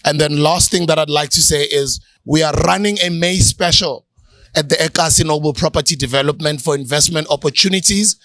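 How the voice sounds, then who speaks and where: Male co-host; relaxed promo read, slightly raised pitch, dry close mic. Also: gravelly, no echo. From South Africa. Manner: relaxed, promotional, hesitant with ums. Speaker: podcast co-host. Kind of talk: casual chat, closing plugs.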